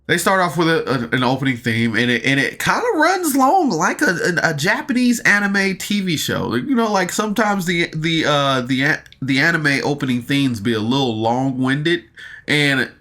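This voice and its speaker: A man's voice: fairly high pitch, lively intonation, moderate pace, sharp tone. A man giving a casual solo podcast review in a rambling, hesitant, enthusiastic fan's way, often backtracking and using slangy wording.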